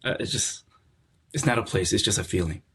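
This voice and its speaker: A middle-aged man from California, a podcast guest talking in a relaxed, reflective way and often repeating words as he searches for them. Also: lowish voice, a little fast, breathy edge. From California, USA. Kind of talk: casual conversational interview.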